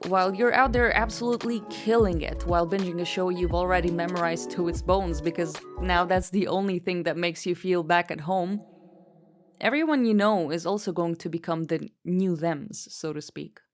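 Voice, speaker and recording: A young woman from Egypt narrating a scripted vlog voice-over in a sardonic, self-deprecating, deadpan way. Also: lowish voice, normal speed. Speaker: vlogger. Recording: voice-over with background music.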